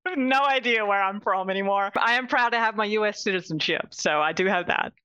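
A woman's voice: medium pitch, brisk, pushed and bright, clear, resonant tone, no room sound, dull audio. Upbeat Australian woman in her forties. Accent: Australian with a light Texan mix. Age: 40s. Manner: upbeat, laughs easily. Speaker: guest, horse industry director. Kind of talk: casual interview talk.

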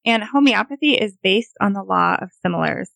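A woman with a Montana accent gives steady, clear explanations as a guest, with a few fillers. Her pitch is mid-range. The recording is dry and close, with no room sound. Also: fairly quick, dry recording. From Montana, USA. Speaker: homeopath guest. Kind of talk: explanatory interview answers.

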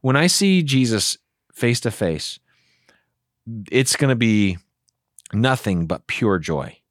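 A man's voice: medium pitch, takes it slowly, no room sound, clean studio sound. A man, a pastor, talking earnestly and with animation in a casual theology discussion. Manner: earnest, animated, acts out inner voices.

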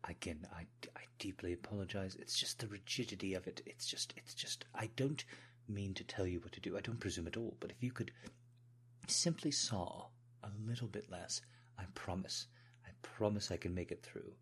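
A man's voice: sits low, normal speed, fairly bright tone, whispered. A man voicing a devoted, obsequious manservant with a put-on British accent, speaking in a deferential, politely formal way in an intimate audio roleplay. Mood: flustered, apologetic.

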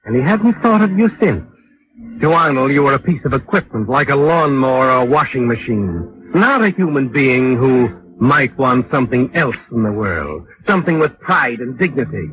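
A man's voice: medium pitch, animated, moderate pace, slight background noise, natural voice. A male actor playing an ominous, taunting storyteller who narrates a 1940s radio thriller in the second person. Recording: muffled vintage radio broadcast with music.

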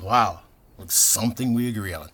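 A man's voice: lowish voice, moderate pace, a bit bright, husky. A young man playing a defiant farmer who answers with sarcastic, biting retorts. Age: young adult.

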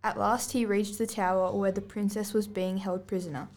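A woman's voice: mid-range voice, flat delivery, moderate pace, darkish tone. A girl of about eleven or twelve reading scripted storybook narration aloud as a student podcast host. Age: child, about 11 to 12.

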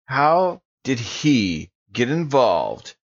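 A man improvises in a role-play podcast with casual, slangy delivery and lively swings in pitch. His audio is dry and a little dull. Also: extremely slow, no room sound. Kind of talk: casual improvised in-character dialogue.

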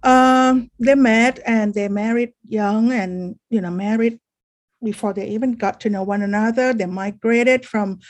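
A middle-aged woman from Thailand sharing a personal testimony at a support meeting in a halting, reflective way. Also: mid-range voice, darkish tone.

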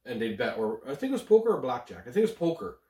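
A man's voice: mid-range voice, big pitch swings, racing, warm tone, roomy sound, distant mic. Slangy guy, a bit stuffed up. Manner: rambling, slangy, laughs easily. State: slightly stuffed up. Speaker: podcast co-host. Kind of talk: casual chat.

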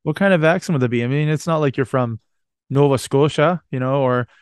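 Podcast guy rambling and cracking jokes, dry close sound, pitch right in the middle. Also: brisk, clean tone, dry recording. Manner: rambling, joking, swears casually. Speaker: podcast host. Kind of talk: casual chat.

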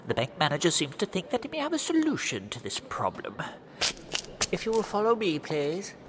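An officious Victorian bank attendant acted by a man speaks with stiff politeness. His pitch swings dramatically from word to word. The scene is an audio play with clear background noise. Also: huge pitch swings, fairly quick. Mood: deferential. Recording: noisy background with clicks.

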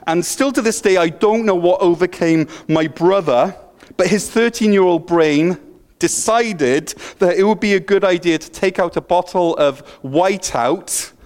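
A man's voice: sits high, unhurried, darkish tone. A middle-aged man from Wales with a Welsh accent, preaching a sermon in a joking, self-deprecating way, with a slight stutter and frequent restarts. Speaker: pastor.

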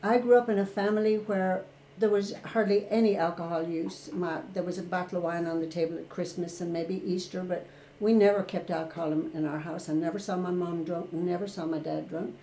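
Older Irish woman, candid reflective guest, warm tone, roomy sound. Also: fairly low pitch, fairly even intonation, a little fast, a little hiss or hum. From Ireland. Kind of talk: personal recovery story in a podcast interview.

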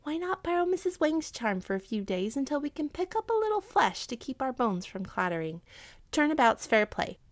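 A woman voicing a crafty, eager village wife in a storybook, with a brisk, scheming, emphatic delivery. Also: sits high, sing-song, no room sound, pitched above the performer's own voice. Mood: coaxing.

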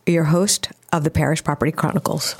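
A woman's voice: sits low, steady tone, fairly quick, music in the background. A woman hosting a property podcast, reading formal introductions and asking interview questions. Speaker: podcast host.

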